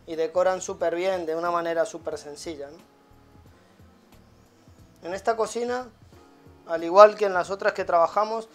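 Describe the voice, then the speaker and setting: A man in his thirties, a chef giving a casual cooking demonstration in a halting way with frequent tag questions. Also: high voice, a bit bright. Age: 30s. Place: small tiled restaurant kitchen.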